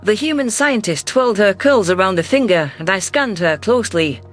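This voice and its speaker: Male narrator, high register, scripted first-person audio fiction, faint music bed. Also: expressive, normal speed, fairly bright tone.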